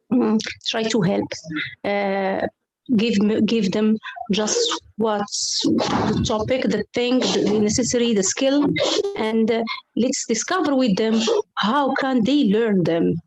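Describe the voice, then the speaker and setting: A woman's voice: fairly high pitch, darkish tone, dry recording, rough recording. A woman from Morocco, an English teacher speaking on an online round table, hesitant and full of fillers and restarts. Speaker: English teacher and club coordinator.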